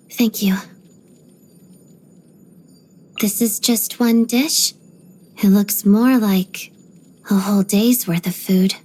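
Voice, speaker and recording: An actress voicing a reserved, disciplined young ballerina in a video game, speaking softly in a measured, guarded way with quiet pride. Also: female voice, mid-range voice. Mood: polite, hesitant. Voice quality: soft, breathy. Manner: measured, composed, polite but guarded. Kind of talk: video game character voice lines.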